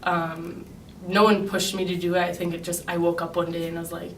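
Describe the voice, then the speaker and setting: A young woman from Chicago answers interview questions in an earnest, steady way, leaning on frequent "um" fillers. Her voice sits a little low and barely moves in pitch. She is off-mic in a reverberant room with a faint hiss behind her. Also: a little fast, recorded from a distance. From Chicago, United States. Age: 20s. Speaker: interviewee, graduate student. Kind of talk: personal interview account. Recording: echoey room, speaker away from mic, faint noise.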